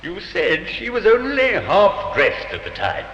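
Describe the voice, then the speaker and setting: A probing defense barrister in an old radio courtroom drama. His forceful, highish voice moves theatrically up and down. It echoes on a muffled recording. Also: wildly swooping pitch, a little slow, heavily acted. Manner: probing, theatrical cross-examination. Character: sharp, insistent male defense barrister. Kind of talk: 1950s radio drama acting.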